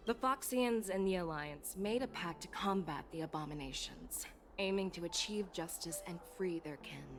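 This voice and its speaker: Game role: courteous woman envoy played by an actress, slightly low voice, composed, background music. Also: moderate pace, natural voice. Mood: formal. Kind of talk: video game voice acting.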